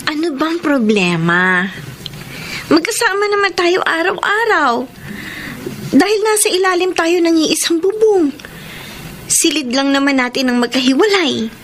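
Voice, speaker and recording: A woman's voice: extremely high pitch, sing-song, a little slow, long pauses, slight background noise, heavily acted. An actress playing an affectionate but firm young woman in a Filipino radio drama, reasoning and protesting expressively. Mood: gently deflecting. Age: young adult. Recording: loud music under the voice.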